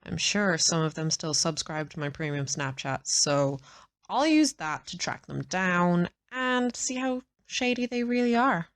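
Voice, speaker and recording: A woman's voice: on the low side, animated, unhurried. A woman playing a confident, worldly former call girl in an audio roleplay, nonchalant and wry. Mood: breezy, wry.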